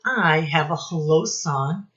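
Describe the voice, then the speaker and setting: A woman teacher speaking deliberately to young children in a read-aloud lesson, addressing them directly with questions. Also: sits low, fairly bright tone. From United States. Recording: dull lo-fi sound.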